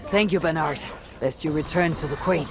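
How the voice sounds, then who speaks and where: Low-voiced, determined Norse warrior woman. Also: normal speed, vocal fry. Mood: firm. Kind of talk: video game cutscene acting.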